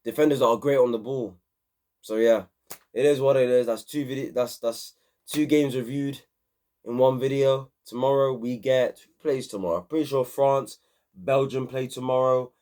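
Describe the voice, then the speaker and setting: A young man hosting an excited, slangy football match review, a bit hoarse from screaming. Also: mid-range voice, normal speed. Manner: animated, repetitive, slangy. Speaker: football channel host.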